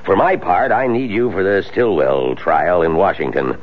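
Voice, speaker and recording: Bossy senior lawyer in old radio drama, deep voice, slightly dull noisy broadcast. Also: male voice, moderate pace. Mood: firm, persuasive. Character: authoritative senior law firm boss, pressing, tactful. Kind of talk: 1970s radio drama acting.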